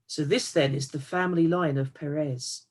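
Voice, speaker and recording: A woman's voice: deep voice, steady tone, normal speed. A woman reading a Bible passage aloud as a scripture reader.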